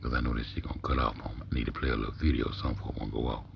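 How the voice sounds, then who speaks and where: A man hosting a livestream with a big deep voice, talking low and dropping to a near-whisper as he reads text aloud, then riffing in loose, profane commentary. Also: steady tone, very fast, vocal fry, slight background noise. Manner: talks low, often drops to a near-whisper. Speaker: livestream host.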